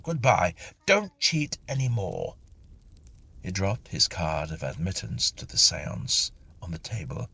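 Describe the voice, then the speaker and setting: Deep gravelly guy narrating a spooky literary story, very breathy, measured and dramatic. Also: sharp tone. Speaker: audiobook narrator. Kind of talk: scripted literary narration of a whimsical ghost story.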